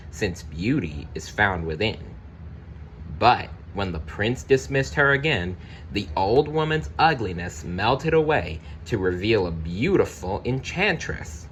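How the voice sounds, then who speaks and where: Low-voiced, slow-paced man reading a storybook. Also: a little hiss or hum. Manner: deliberate, expressive narration with brief personal asides. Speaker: storybook reader. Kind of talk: children's read-aloud storytelling.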